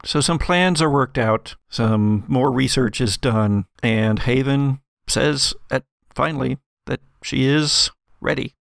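Gravelly, creaky-voiced man running a game. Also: mid-range voice, takes it slowly, fairly bright tone, no room sound, studio quality. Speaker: game master. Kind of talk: improvised tabletop game narration and character dialogue.

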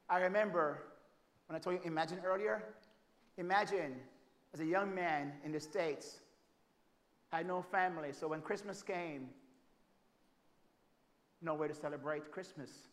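High, bright-voiced Jamaican preacher. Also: male voice, fairly even intonation, moderate pace, pauses often. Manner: swings from loud, emphatic preaching to soft, hushed storytelling. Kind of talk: sermon. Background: congregation calling out amens.

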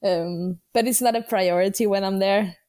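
A young woman from Greenland, a native Danish speaker, answering interview questions in English with many pauses and restarts. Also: mid-range voice, steady tone, mellow, no echo, studio quality. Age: young adult. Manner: hesitant, many fillers and restarts. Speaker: guest athlete. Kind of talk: casual interview.

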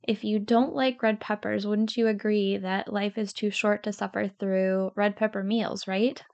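A woman's voice: mid-range voice, little pitch movement, measured pace, creaky, darkish tone, clear, resonant tone, muffled recording. Scratchy-voiced woman podcast host, sincere. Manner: sincere, encouraging, speaks straight to the listener. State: tired from lack of sleep. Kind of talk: podcast monologue.

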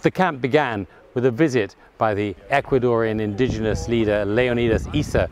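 A man hosting a climate justice show, narrating an introduction in a measured way and interviewing activists. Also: mid-range voice, noisy background. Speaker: podcast host and interviewer.

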